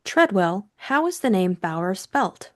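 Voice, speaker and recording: A woman voicing a bold, inquisitive young aristocratic lady with a brisk, direct way of asking. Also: mid-range voice, big pitch swings.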